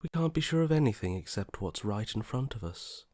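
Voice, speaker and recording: An actor playing a detached, morbidly curious inner voice in a video game, with a languid, blasé delivery that softly trails off. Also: male voice, on the low side, lively intonation, a little fast, no room sound, clean studio sound. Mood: morbid curiosity. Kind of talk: video game character voice acting.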